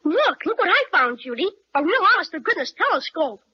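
A woman's voice: extremely high pitch, big pitch swings, a little fast. Little boy in an old radio serial, gee-whiz and cocky, swoopy delivery, muffled vintage sound. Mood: excited. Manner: gee-whiz boyish exclaiming. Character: boyish, boastful, enthusiastic little boy twin in Christmas serial. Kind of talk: old-time radio children's serial acting. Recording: dull old radio recording.

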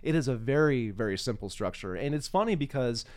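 A man's voice: medium pitch, expressive, fairly quick, a little mellow. A man hosting a podcast, chatting casually and thinking aloud, at times reciting simple rhyming verse. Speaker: podcast host.

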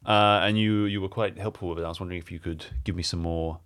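A man's voice: low-pitched, fairly even intonation, fast, fairly bright tone. A man playing a patient, insistent man who probes with riddles in an improvised audio drama. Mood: coaxing. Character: persistent, probing man talking in puzzle riddles.

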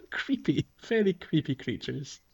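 A man co-hosting a casual video game podcast, talking in an animated way and repeating phrases for emphasis. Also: fairly high pitch, vocal fry, breathy edge, muffled recording. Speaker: podcast co-host.

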